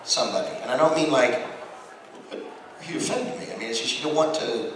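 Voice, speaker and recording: A man's voice: mid-range voice, fairly quick. American man in a very echoey, noisy hall. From Texas, United States. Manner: rambling, jokey, acts out quoted remarks. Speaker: voice actor and director on a convention panel. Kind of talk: casual panel talk with anecdotes. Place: large room at a fan convention. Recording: very echoey hall, distant mic, clear noise.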